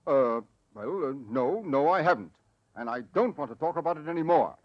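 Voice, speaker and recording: An impatient, irascible lord of the manor, played by a man, with a fairly high voice. He is gruff and dismissive, in an old radio drama with dull sound. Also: expressive, a little fast, lightly acted. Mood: irritated. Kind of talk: 1940s radio acting.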